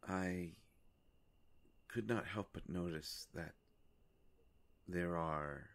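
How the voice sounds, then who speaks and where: A man playing a courteous, ancient vampire in a roleplay audio drama, speaking in a halting, formal, soft-spoken way. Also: sits low, full character voice. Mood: polite, tentative. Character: courteous, ancient male vampire, gentle and hesitant.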